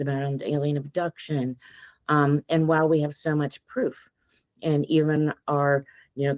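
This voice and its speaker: A hoarse woman talks earnestly and with emphasis, her voice mellow and dark in tone. The recording is dry and a little dull, with a lo-fi edge. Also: low voice, no room sound. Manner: earnest, emphatic, speaks from faith. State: hoarse from allergies. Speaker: guest author. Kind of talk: podcast interview.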